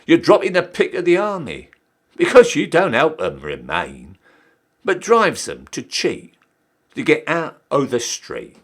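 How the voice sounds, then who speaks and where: Man acting a cheeky old-style British soldier, wildly animated. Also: a little high, a little slow, pitched above the performer's own voice, heavily acted. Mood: proud, indignant. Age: young adult. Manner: theatrical, emphatic, swooping delivery. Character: cheeky down-at-heel ex-soldier re-enlisting, Victorian British army ranker. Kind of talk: dramatic poetry recital.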